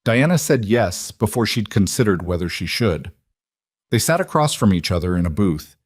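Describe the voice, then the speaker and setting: A man's voice: fairly low pitch, sing-song, moderate pace, no echo, clean studio sound. A man reading a scripted story as its narrator.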